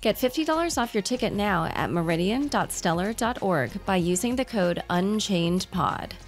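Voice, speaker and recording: Woman reading a podcast ad, medium pitch, scripted, faint music behind. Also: expressive, normal speed. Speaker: ad announcer. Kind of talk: scripted ad read.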